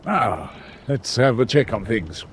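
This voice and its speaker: An actor playing a composed senior naval operations officer in a wartime radio drama, crisp and businesslike. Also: male voice, mid-range voice, lively intonation, a little slow, slight background noise, natural voice.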